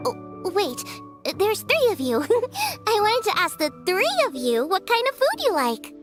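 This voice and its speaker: A woman's voice: very high-pitched, wildly swooping pitch, measured pace, music in the background, full character voice. A woman voicing an eager, earnest young female chef who gets a little flustered.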